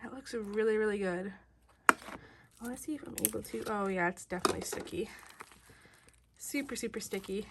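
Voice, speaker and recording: A woman's voice: mid-range voice, normal speed, long pauses. A young woman with a head cold, hosting a casual slime and toy tutorial from her bedroom in a bubbly, upbeat way. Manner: bubbly, upbeat, repeats "you guys". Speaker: toy and slime channel host. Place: bedroom, indoors. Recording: loud air conditioner hum. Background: air conditioner noise.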